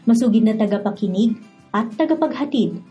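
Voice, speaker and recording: Woman, Filipino accent, radio drama host, scripted narration, mellow and husky, faint music behind. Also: a little high, animated, a little fast, lo-fi sound. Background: background music.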